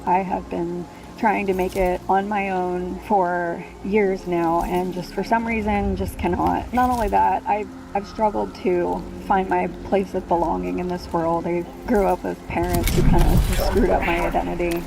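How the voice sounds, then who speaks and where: A woman in her thirties talks hesitantly about herself in a confessional clip. Her voice is lowish with a gravelly edge. Clear background noise hangs behind her. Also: level tone, moderate pace, hardly any pauses. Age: 30s. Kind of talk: confessional social media video.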